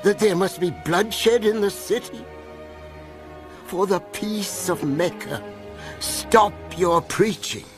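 Old Arabian elder, animated film, grave, slightly high voice, slow, music behind. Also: male voice. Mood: troubled, pleading. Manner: grave, measured. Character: dignified, loyal old Arabian clan elder.

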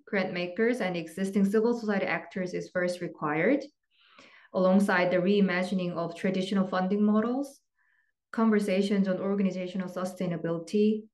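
A woman moderating an online event, reading measured, formal opening remarks over slides. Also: on the low side, darkish tone.

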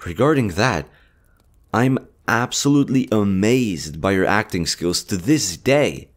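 A man acting a kind, earnest young army general in a romantic audio roleplay, hushed, halting and emotive. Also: lowish voice. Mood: amazed, playful.

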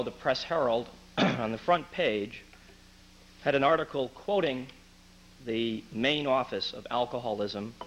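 A hoarse man in his thirties, a physician, speaking at a town council public comment from a podium mic, earnest and clearing his throat often. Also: mid-range voice, a bit bright, low-quality recording.

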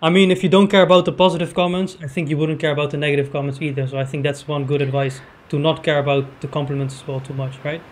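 Quick, higher-voiced young male host. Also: steady tone. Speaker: podcast host. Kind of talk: casual interview. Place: studio with striped curtain. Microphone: studio mic with pop filter.

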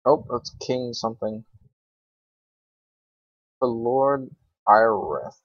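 A man's voice: medium pitch, lively intonation, extremely slow, dry recording. A young man giving casual, unscripted game commentary, rambling to himself in slang with some swearing. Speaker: gaming video creator. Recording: dull, lo-fi microphone.

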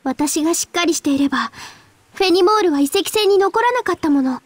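Gentle, earnest high-voiced teenage girl. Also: lively intonation, a little fast, dry recording. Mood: remorseful. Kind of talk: Japanese game voice acting.

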